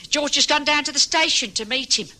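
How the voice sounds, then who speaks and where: An actress playing a frail, fussy working-class London wife with a London accent, flustered and chatty, in a 1950s radio drama. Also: female voice, sits high, brisk, pushed and bright. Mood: homely.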